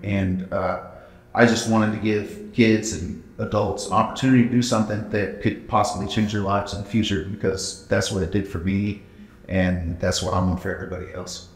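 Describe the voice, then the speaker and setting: Low-voiced thirties gym owner from Texas, halting casual answers, roomy gym sound. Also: male voice, fairly even intonation. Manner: plain-spoken, halting with many uh fillers. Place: large indoor gym. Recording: echoey gym room.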